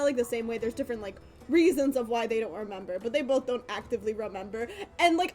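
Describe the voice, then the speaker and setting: A young woman livestreamer giving casual game commentary, rambling in slang with swearing and a giggly manner. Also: sits high.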